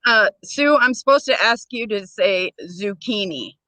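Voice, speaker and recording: A woman's voice: mid-range voice, animated, measured pace, sharp tone, dry recording, low-quality recording. A woman chatting casually and playfully, passing on her son's questions and laughing easily. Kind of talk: casual chat.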